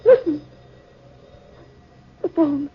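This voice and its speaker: An actress playing a frightened married woman in a tense, breathy 1950s radio drama performance. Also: high voice, animated, very slow, exaggerated voice. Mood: rising panic. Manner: tense, breathy, hushed to panicked. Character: frightened married woman trapped by a monstrous moth. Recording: muffled, lo-fi 1950s radio recording.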